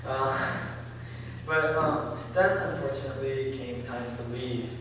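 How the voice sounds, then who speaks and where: A teenage boy gives a casual presentation in a senior center hall, stopping often for ums and likes. He sits at a middle pitch, caught from a distance on a room mic. A long, echoey reverb and a faint hiss hang around his words. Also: fairly even intonation. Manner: halting, full of ums and likes. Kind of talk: informal talk to an audience.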